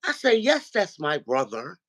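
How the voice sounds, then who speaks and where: A man with a deep, nasal voice who calls into a livestream and tells a story, acting out what other people said. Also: a little slow. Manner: animated storyteller, acts out quoted dialogue. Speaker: regular viewer calling into a livestream. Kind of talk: casual chat, retelling an online argument.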